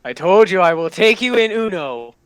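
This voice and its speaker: An excitable reaction host with a clear, smooth voice at a middling pitch. The talk is casual, gushing and full of exclamations, as commentary on something being watched. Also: normal speed. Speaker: reactor hosting a reaction video.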